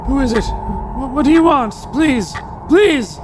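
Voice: high-pitched, animated, unhurried, noisy background. An actor playing a frightened old man, pleading in a panicky way in a Gothic radio play. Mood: terrified.

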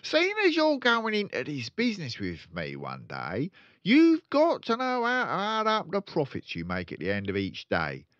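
A man acts out a storybook father who is a boastful, crooked car dealer. He is loud, bossy and emphatic, with a raised pitch and lively swoops. The sound is a little dull. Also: moderate pace, no room sound, full character voice. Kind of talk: children's storybook character voice.

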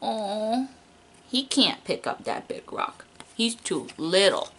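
Young woman teacher reading a picture book to little kids, super sing-songy up and down, takes it slow, bright voice. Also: medium pitch. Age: young adult. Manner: animated, exaggerated, child-directed, stretching key words. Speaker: preschool teacher reading to children. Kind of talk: children's picture book read-aloud.

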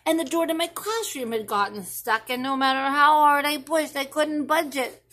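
A woman's voice: high-pitched, normal speed, sharp tone, pitched above the performer's own voice. A woman voicing an anxious, imaginative little schoolboy who rambles through what-if questions in a children's storybook. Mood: worried, excited. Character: anxious, imaginative little school-age boy pestering his mother. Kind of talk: expressive children's storybook reading.

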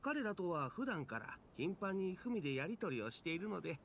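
An actor playing a polite, obliging clan attendant in an anime game, speaking formally. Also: male voice, high voice, big pitch swings, normal speed, fairly bright tone, slight background noise, full character voice. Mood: assured. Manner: formal, courteous. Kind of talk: Japanese anime game dialogue. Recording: faint music behind.

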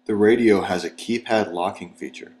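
Low-voiced male instructional narrator. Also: normal speed, a little mellow, slight background noise. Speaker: training video narrator.

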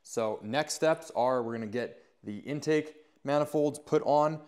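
A North American man hosts a casual build vlog in a voice of average pitch. He talks informally to his viewers, with an upbeat manner and plain everyday phrasing. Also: unhurried, darkish tone. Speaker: host of a truck build channel.

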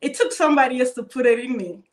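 A woman's voice: high-pitched, expressive, quick pace. A young woman from Cameroon speaking as a cybersecurity panelist on a video call, telling her story in a hesitant way with many fillers and restarts.

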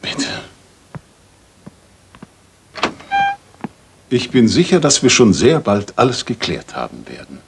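Radio drama role: courteous gentleman companion and storyteller, animated pitch, measured phrasing, slight noisy ambience. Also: gravelly, exaggerated voice. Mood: courteous, concerned. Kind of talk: German radio drama acting and narration.

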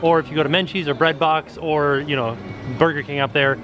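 A man's voice: fairly high pitch, little pitch movement, a little fast, highs cut off, faint music behind. A man narrating a walking tour of an outdoor shopping and dining area, chatty and pointing things out as he walks, recorded on a handheld camera. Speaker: vlogger giving a walking tour.

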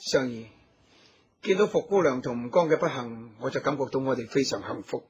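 An actor playing a resolute, caring heroic husband in a radio drama. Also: male voice, medium pitch, expressive, fairly quick, slightly rough, faint music behind. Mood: tender, caring. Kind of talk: Cantonese radio drama acting.

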